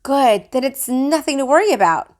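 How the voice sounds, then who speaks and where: A woman's voice: sits high, animated, normal speed, darkish tone, pitched above the performer's own voice. A woman voicing an exasperated but easygoing mother, chiding and coaxing, in a children's storybook. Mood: concerned.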